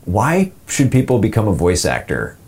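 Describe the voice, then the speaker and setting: A man speaking casually and candidly as a podcast host and voice actor, in an animated, rambling, self-deprecating way. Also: on the low side, moderate pace, husky.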